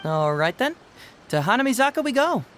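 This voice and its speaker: A man's voice: very high voice, sing-song, a little fast, heavy background noise. A man voicing a sly, scheming young detective in a video game, persuasive and coaxing.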